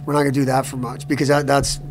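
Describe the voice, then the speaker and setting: A middle-aged male hockey coach answers reporters after a game in a steady, measured way. He stumbles and repeats words as he works through his answers. A clear hum runs behind his voice. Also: medium pitch. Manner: halting, repeats words, many restarts. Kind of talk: postgame press conference. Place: press room before a sponsor backdrop.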